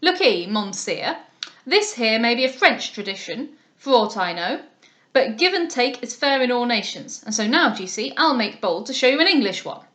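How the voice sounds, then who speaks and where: A woman voicing a rough, bullying middle-aged English sea captain who talks loud and blustering. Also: a little high, very animated, moderate pace.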